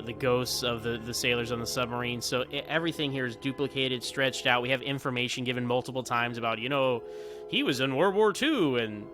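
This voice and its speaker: A man's voice: medium pitch, pushed and bright. A man giving casual fan commentary on TV episodes in a chatty, enthusiastic, rambling manner. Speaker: podcast host calling in as guest reviewer.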